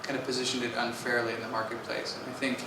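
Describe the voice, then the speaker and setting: A man on a conference panel who talks in a measured, reflective way and uses steady fillers. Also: medium pitch. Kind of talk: panel discussion. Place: conference hall stage. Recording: echoey hall, from far off, with clear background noise.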